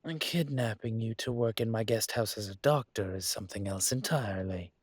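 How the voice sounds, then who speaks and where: A man playing a suave, courteous dragon mafia boss in an intimate roleplay audio, with a husky, breathy voice and a gentle, teasing formality. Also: lowish voice, fairly quick, no room sound, studio quality, lightly acted. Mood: wry, firm.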